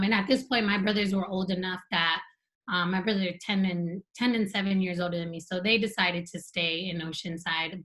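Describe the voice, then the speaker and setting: A young woman, native Spanish speaker raised around the border region, recounts her story in a clear, steady conversational voice. She pauses on small fillers but keeps an even, mid-range tone. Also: mid-range voice. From Southern California and the border region. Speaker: panel guest.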